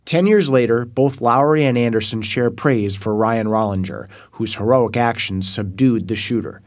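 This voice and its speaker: A man reading a scripted news report voice-over in a measured, even way. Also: mid-range voice.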